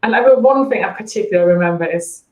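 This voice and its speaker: A woman's voice: medium pitch, racing, a little mellow, roomy sound, muffled recording. A woman in her forties from East Germany with a German accent, chatting and laughing as a guest on an interview show. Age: 40s. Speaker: guest, branding coach. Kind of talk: casual interview chat.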